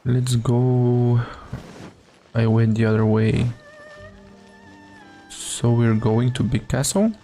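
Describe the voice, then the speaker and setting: A man streams a game with offhand commentary in short fragments. His voice is mellow and mid-pitched, and his pace is very slow. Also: flat delivery, faint music behind. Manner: offhand, thinking aloud in fragments. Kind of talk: casual gameplay commentary.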